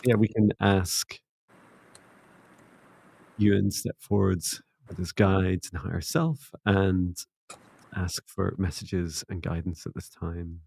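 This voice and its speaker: A Scottish man hosting a live psychic reading, chatty and upbeat, slowing down gently when passing on messages. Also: low-pitched, steady tone, gravelly, slightly rough. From Scotland. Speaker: psychic reader and co-host.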